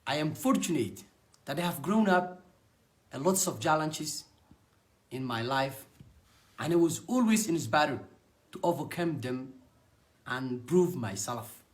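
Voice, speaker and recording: Earnest Somali-accented man, fairly high voice. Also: animated. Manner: earnest, halting phrasing with short pauses. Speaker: network marketing distributor. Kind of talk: prepared personal testimonial.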